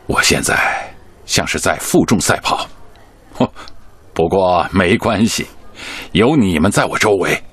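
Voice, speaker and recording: An actor playing a hearty, resolute middle-aged hospital director in a Chinese radio drama, avuncular and declarative. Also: male voice, sits low, expressive, a little slow, fairly bright tone, breathy edge, dry recording, heavily acted. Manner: avuncular, declarative, punctuates with questioning grunts.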